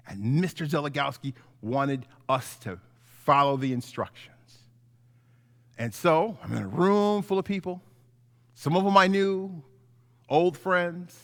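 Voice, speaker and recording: Male children's pastor, higher-pitched voice, theatrical story-time delivery with stretched emphasis, long hall reverb. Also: a bit bright. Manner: theatrical, drawn-out emphasis on key words. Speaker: pastor telling stories to children. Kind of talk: animated children's story time, sermon-like lesson.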